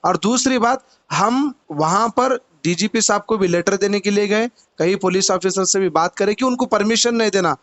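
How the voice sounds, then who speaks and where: High-pitched, insistent Hyderabadi man. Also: moderate pace, few pauses, fairly bright tone. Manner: insistent, repeats himself. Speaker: political party activist being interviewed. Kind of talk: argumentative phone interview.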